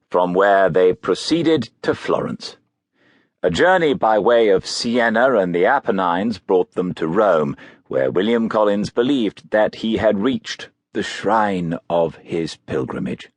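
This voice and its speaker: A man narrating a biography audiobook in a measured, literary storytelling manner. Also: sits low, no room sound.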